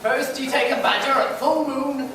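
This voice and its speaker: A man's voice: very high voice, moderate pace. A man playing a daft, earnest sailor in an amateur school pantomime. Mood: earnest, insistent. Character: daft old-salt pirate sailor with earnest folk remedies. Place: school stage in a hall. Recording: echoey hall from far off, noisy.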